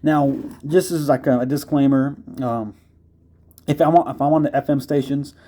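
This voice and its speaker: A man's voice: mid-range voice, fairly even intonation, normal speed, warm tone. An American man giving a casual, unscripted product review as a video host, explaining things conversationally. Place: indoors.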